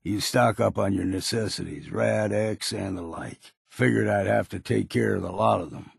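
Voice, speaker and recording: Gravelly, gruff old island hunter. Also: male voice, on the low side, moderate pace, no room sound, clean studio sound, full character voice. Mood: gruff, instructive. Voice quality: gravelly, raspy. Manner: gruff, blunt, folksy rural drawl. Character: gruff, hard-drinking older island hunter, a wary male guide. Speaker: companion character in a video game. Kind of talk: video game voice lines.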